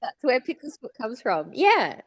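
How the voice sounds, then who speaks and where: A woman's voice: a little high, wildly swooping pitch, unhurried, slightly rough, no echo. Australian woman chatting, close dry sound. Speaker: podcast co-host, voice actor. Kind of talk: casual podcast chat.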